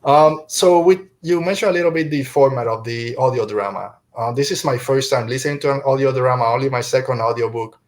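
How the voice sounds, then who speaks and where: A middle-aged man hosts a podcast in a casual, conversational way. He is a native Spanish speaker, with a medium-pitched voice, talking through a review. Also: fairly even intonation, brisk, few pauses. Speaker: podcast host.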